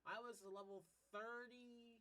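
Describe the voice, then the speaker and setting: A man's voice: very high-pitched, steady tone, moderate pace, pushed and bright. A man streaming a game, explaining things casually in a rambling, emphatic way with repeated points. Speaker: gaming streamer. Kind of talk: casual gameplay chat, explaining.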